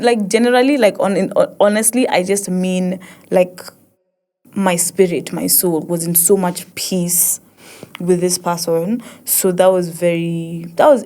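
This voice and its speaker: A young woman with an East African accent, talking casually and reflectively as a host and poet, expressive and often repeating herself as she searches for words. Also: on the low side. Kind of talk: casual reflective monologue with code-switching.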